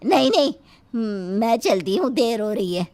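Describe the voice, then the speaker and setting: A woman's voice: on the high side, very animated, normal speed, a little mellow. A woman playing a put-upon, grumbling middle-aged aunt in a moral story. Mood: indignant. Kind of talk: scripted Hindi moral story acting.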